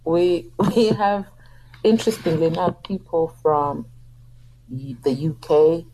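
A woman's voice: sits low, slow pace, mellow, slightly rough, rough recording. A middle-aged woman from South Africa, an opera singer speaking earnestly and with passion as a guest in a radio interview. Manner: earnest, impassioned, speaks out against abuse. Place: radio studio.

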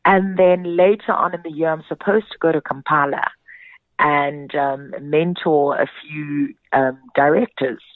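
A woman's voice: low-pitched, fairly even intonation, measured pace, no room sound. A South African woman, a theatre director, speaking as a guest in a radio interview. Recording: narrow phone-like sound.

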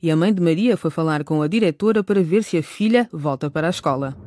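Measured radio drama narrator. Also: medium pitch, darkish tone, a little hiss or hum. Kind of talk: scripted narration.

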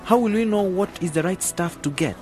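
Guy doing a cheeky young dude in a radio drama, highish lively voice, music behind. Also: a little fast, natural voice. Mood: eager. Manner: animated, questioning. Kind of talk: scripted educational radio drama.

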